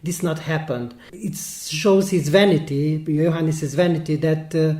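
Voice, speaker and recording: A man, an English speaker, talking earnestly in a panel discussion, often pausing and filling gaps with "uh". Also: fairly high pitch, steady tone, darkish tone. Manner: hesitant, many pauses and fillers, earnest. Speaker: human rights foundation analyst. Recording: roomy echoing room.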